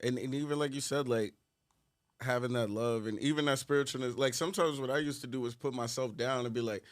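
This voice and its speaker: A man's voice: medium pitch, steady tone, quick pace. A man hosting a podcast in casual chat, encouraging, giving advice to other men and backing up his guest with many "mm-hmm" and "yes" replies. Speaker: podcast host.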